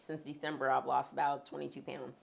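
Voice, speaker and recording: Her voice sits extremely low and has a gravelly rasp to it. She is a woman being interviewed and talks frankly and conversationally, with a few humorous asides. Her husky tone stays steady throughout. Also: brisk. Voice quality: deep, husky voice. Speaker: interview guest. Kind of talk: candid sit-down conversation.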